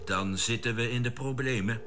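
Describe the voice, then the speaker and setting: An actor playing a decisive, responsible spaceship captain in a Dutch science-fiction radio drama, giving terse commands. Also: male voice, medium pitch, normal speed, natural voice. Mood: calm, inquiring. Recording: noisy old radio drama recording.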